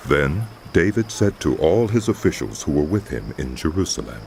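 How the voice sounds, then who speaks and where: A man with an extremely low, creaky voice narrates a dramatized scripture story. His delivery is scripted and widely varied in pitch, over noticeable background noise. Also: big pitch swings, normal speed, a little mellow.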